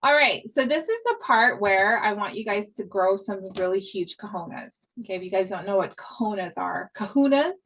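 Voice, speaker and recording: A woman's voice: medium pitch, animated, moderate pace, few pauses, a bit bright. A woman from Hawaii giving a casual online course on podcast sales, chatty and upbeat, repeating her points and swearing casually. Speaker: podcast coach.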